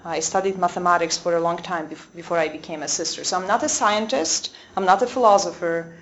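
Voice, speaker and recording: A woman's voice: lowish voice, fairly quick, fairly bright tone, dull audio, a little hiss or hum. Polish woman giving a hesitant talk. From Poland. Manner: hesitant, many fillers and restarts. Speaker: Dominican sister giving a talk. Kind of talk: lecture talk to a small audience. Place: convent room with a live audience.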